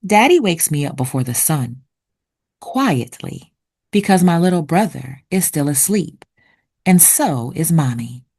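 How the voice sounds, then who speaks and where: A woman narrating for children like a teacher, with deliberate, expressive enunciation. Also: sits low, slightly rough. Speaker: read-aloud host and narrator. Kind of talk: children's picture book read-aloud with vocabulary teaching.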